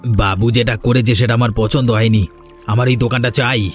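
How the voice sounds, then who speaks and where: Man playing pushy scheming henchman, medium pitch. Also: steady tone, normal speed, soft background music, close to an everyday voice. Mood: pushy, threatening. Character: scheming, pushy male servant of landlord, Khagen, cowardly. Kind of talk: Bengali cartoon drama dialogue.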